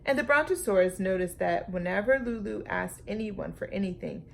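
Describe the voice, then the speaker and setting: A woman storytime reader with a noticeably creaky voice at an average pitch. She reads a children's book expressively, placing deliberate, dramatic emphasis on phrases. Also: animated, a little hiss or hum.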